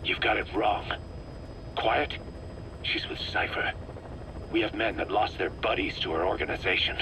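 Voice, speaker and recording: An actor playing a suspicious, hard-bitten military commander in a video game, terse, grumbling and confrontational. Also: male voice, fairly low pitch, normal speed, background noise, close to an everyday voice. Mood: hostile, suspicious. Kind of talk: dramatic game voice acting.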